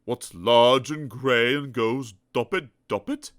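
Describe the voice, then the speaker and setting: A man doing a booming, mocking storybook elephant. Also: fairly bright tone, clean tone. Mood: worried. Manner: slow, booming, drawn-out, mocking. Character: mocking clever male elephant, proud and loud.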